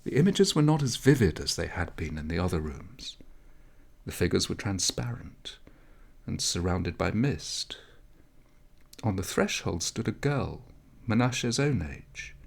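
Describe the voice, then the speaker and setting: A man reading a story aloud as scripted narration, measured, with dramatic pauses. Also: lowish voice, animated, vocal fry. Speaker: audiobook reader.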